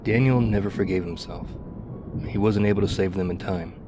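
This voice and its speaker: A man playing a battle-worn, wistful ex-soldier survivor of a zombie apocalypse, confiding haltingly and reflectively in an intimate ASMR roleplay. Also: low-pitched, music in the background, lightly acted. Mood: regretful, sad.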